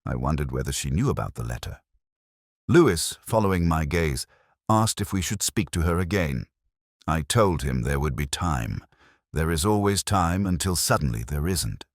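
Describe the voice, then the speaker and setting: A man reading scripted first-person narration for a detective mystery in a measured, literary, reflective manner. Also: rumbling low, very animated, no echo, clean studio sound.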